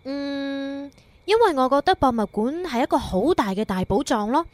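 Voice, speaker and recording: Woman playing a coy, high-voiced young heroine. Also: expressive, moderate pace, a little mellow, no room sound. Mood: sweet. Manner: playful, coy. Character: sweet, teasing young museum worker heroine. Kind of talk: romantic radio drama dialogue.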